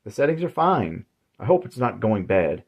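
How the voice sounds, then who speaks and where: A man's voice: on the low side, very animated, normal speed, vocal fry, darkish tone, husky, exaggerated voice. A man voicing a weary, frustrated suburban housewife who talks in a chatty, exasperated way.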